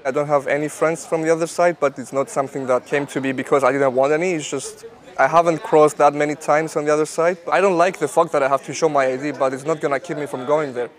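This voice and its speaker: A man, a medical student calmly sharing his family's story in a street interview. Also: a little high, fast. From Cyprus. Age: 20s. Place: outdoors in a town.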